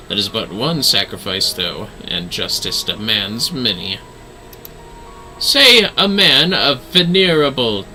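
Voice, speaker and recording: Man voicing a bright, theatrical dark knight mentor. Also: mid-range voice, pitched above the performer's own voice, exaggerated voice, rough recording. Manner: solemn, dramatic, deliberate. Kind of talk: reading video game dialogue aloud.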